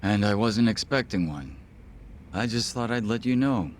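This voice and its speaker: An actor playing a polite, coolly menacing gangland henchman in an English video game dub, speaking in a formal, smug, measured manner. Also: on the low side, lively intonation, a little hiss or hum, natural voice. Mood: smooth, smug.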